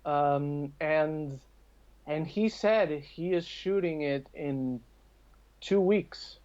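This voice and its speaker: Higher-voiced man, dull lo-fi recording. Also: extremely slow, darkish tone. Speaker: podcast guest. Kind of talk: casual opinionated chat about films. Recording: dull lo-fi call-like audio.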